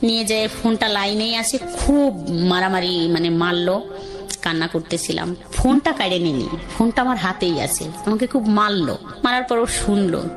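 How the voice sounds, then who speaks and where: A woman's voice: medium pitch, animated, moderate pace. A woman with a Bangladeshi accent telling her own life story in a conversational, rambling, colloquial way. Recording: music under the voice.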